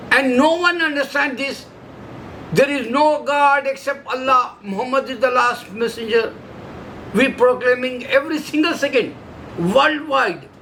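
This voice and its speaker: Indian guy, urdu native, really high bright voice, choppy broken english preaching. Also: a little hiss or hum, rough recording. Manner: broken English, short emphatic phrases. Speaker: religious video maker. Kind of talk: solo preaching monologue.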